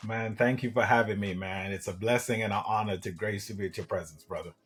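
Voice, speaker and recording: A man's voice: lowish voice, fairly even intonation, brisk, a bit bright. A man from Trinidad and Tobago, a podcast guest telling personal stories in an enthusiastic, conversational way. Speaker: podcast guest, business coach. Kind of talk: casual personal storytelling.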